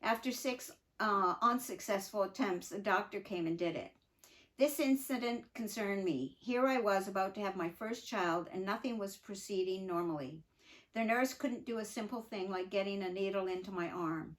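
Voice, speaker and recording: An older woman reading her own memoir aloud in an earnest, reflective way, pausing for emphasis and adding unscripted asides. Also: mid-range voice, poor audio. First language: English. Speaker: memoir narrator.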